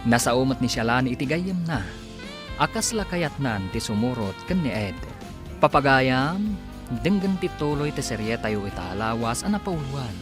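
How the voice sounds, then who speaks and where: Animated male radio drama announcer over music. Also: medium pitch, normal speed. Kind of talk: dramatic scripted radio narration.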